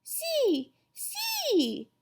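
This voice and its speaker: An excitable little toddler boy played by a woman in a children's audiobook. The voice is very high and babyish, swinging widely through short, stubborn, repeated phrases. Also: drawn-out words, a little hiss or hum, full character voice. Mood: gleeful.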